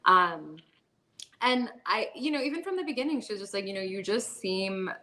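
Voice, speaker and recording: A woman's voice: mid-range voice, animated, moderate pace, bright, forceful tone. A woman in her thirties, a podcast guest, talking in a candid, chatty way, full of "like" and "you know" fillers and light laughter. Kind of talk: candid conversational storytelling.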